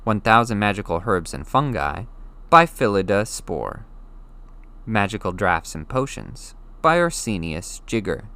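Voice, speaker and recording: Animated male storybook narrator, slightly low voice. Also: a little slow. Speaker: audiobook narrator.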